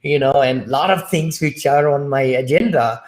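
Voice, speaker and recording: A man's voice: medium pitch, moderate pace. An older man hosting a live talk, enthusiastic and affirming of his guest. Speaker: host. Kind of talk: casual chat. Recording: echoey room.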